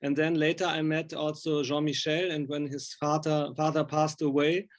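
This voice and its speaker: Guy doing an informal interview, non-native english, kinda high voice, rambly and keen. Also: flat delivery, moderate pace. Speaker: interviewer, film and music journalist.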